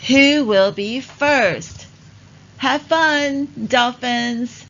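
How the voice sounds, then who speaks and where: Woman, children's read-along narrator, unhurried pace, deliberate teaching delivery, slightly muffled lo-fi recording. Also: high voice, fairly bright tone. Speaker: narrator of children's reading lesson.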